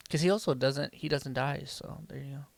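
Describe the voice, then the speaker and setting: A man's voice: mid-range voice, brisk, vocal fry, no room sound. A man co-hosting a podcast in casual banter. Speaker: podcast co-host.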